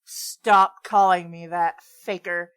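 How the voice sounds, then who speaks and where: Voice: mid-range voice, little pitch movement, slow pace, a bit bright, smooth, no echo, voice pushed down. A woman voicing a grumpy, aloof male hedgehog antihero in a curt, irritated manner.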